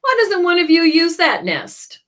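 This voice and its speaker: A woman voicing a scheming storybook animal who is loud, bossy and persuasive toward nesting birds. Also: extremely high pitch, very animated, normal speed, voice pushed up, exaggerated voice. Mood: scornful persuasion.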